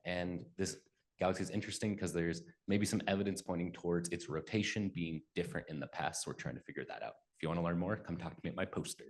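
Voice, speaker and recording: A young man, a student, giving a relaxed conference flash talk that trails off softly. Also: low voice, fast. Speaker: student presenter.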